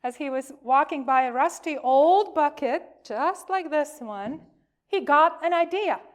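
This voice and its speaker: A woman reading a children's story aloud to a congregation, telling it expressively with emphasis and deliberate pauses. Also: sits high, lively intonation.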